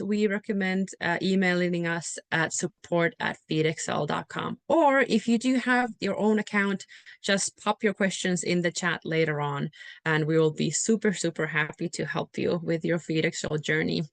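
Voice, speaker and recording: A woman's voice: mid-range voice, dry recording. A woman from Finland, presenting in a webinar in a friendly, reassuring way with frequent fillers. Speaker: software company presenter.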